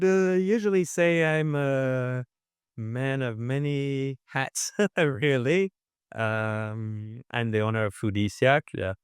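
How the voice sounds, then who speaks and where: A man who grew up in France, with French as his first language, talking casually as a guest about his business, measured and full of fillers. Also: on the low side, clean tone, no echo, studio quality. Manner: measured, many fillers and restarts. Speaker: guest, restaurant owner. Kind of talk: casual interview chat.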